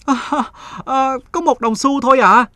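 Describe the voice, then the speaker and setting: A man voicing a whining, scheming young beggar who pleads and flatters in a cartoon morality tale. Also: very high voice, a little slow, voice pushed up. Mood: dismayed. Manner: pleading, ingratiating.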